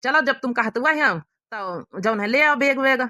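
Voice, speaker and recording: An old village grandfather as acted by a woman in an Awadhi cartoon, with a high, bright voice. He grumbles but is fond, speaking with an Awadhi accent in a dry recording. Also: animated, normal speed, dry recording. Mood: resigned. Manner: grumbling, colloquial. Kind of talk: Awadhi cartoon comedy voice acting.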